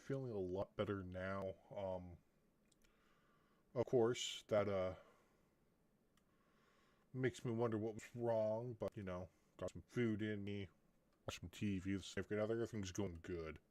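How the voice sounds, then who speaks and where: A man with a slightly low voice chats loosely through his stream setup. He rambles and talks to himself, often sinking into mumbled remarks. The sound is dry and close, with little room around it. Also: a little slow, long pauses, a little mellow, no echo. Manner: rambling, talks to himself, drops into muttered asides. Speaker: game streamer. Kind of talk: casual live-stream chat while setting up. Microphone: desk microphone he is testing.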